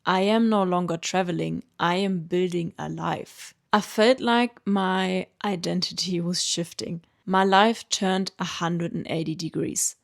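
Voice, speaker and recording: A German woman in her late twenties, a native German speaker with a German accent, calmly telling her own story in an earnest, reflective vlog. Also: on the low side, measured pace, gravelly, few pauses. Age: late 20s. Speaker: vlogger. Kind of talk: reflective personal narration.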